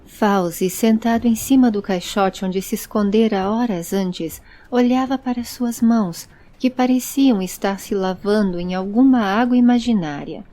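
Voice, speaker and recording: A woman's voice: medium pitch, moderate pace, few pauses, dark tone. A woman reading scripted narration as the narrator and presenter of an audio story.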